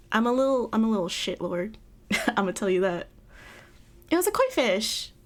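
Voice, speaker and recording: A young woman chatting casually on a livestream, giggling often and rambling through restarts. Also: medium pitch. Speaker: streamer. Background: faint background music.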